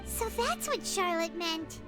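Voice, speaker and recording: A woman voicing a small, curious, caring childlike companion with a squeaky voice in a video game. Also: very animated, slow, music in the background, exaggerated voice. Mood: curious, concerned.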